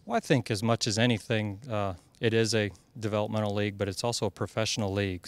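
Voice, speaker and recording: A man's voice: on the low side, smooth. A man in his thirties from Iowa, a basketball head coach answering interview questions earnestly, with many fillers and restarts, into a handheld mic at an indoor media backdrop. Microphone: handheld mic held by interviewer.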